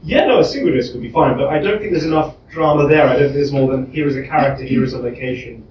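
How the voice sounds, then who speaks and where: A man argues a point informally at a live discussion, at a middling pitch. The sound is dull and echoey, caught away from the mic. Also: fast. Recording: dull, echoey room, distant mic, faint noise.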